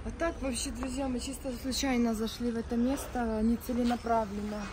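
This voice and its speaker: A woman's voice: fairly high pitch, little pitch movement, fairly quick, a crowd in the background. A woman co-hosting a casual travel vlog, eagerly chiming in and agreeing as they walk. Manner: enthusiastic, chimes in with agreement. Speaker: vlogger. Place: outdoors on a city street. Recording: street noise behind.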